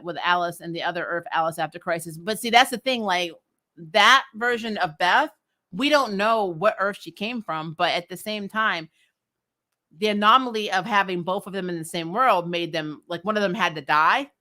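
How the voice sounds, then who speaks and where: A woman hosting a recap vlog, chatting casually and answering comments with strong opinions, in an emphatic, blunt, animated manner that includes swearing. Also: lowish voice. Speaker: host of a TV show recap vlog.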